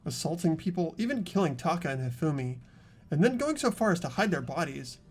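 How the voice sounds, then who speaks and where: Measured dramatic game narrator. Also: mid-range voice, sing-song, breathy edge. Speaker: narrator and protagonist of a game playthrough.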